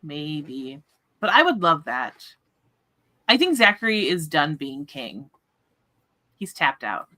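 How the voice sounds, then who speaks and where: A woman hosting a casual book discussion livestream, chatting in an animated way. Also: sits low, slow pace, pauses often.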